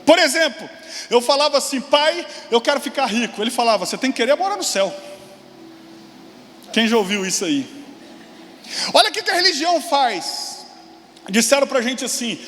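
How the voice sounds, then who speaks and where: High-voiced pastor in a hall. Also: male voice, a bit bright. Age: 40s. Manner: preaching with sudden high exclamations and quick asides to the congregation. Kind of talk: sermon. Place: large church hall. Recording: long hall reverb, faint room noise.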